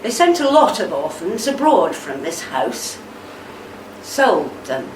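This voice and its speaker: An actress playing a gentle but insistent wife, tender and sorrowful, in a radio play. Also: female voice, medium pitch, big pitch swings. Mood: grave. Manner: gentle, coaxing, deliberate. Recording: echoey room, distant mic, background noise.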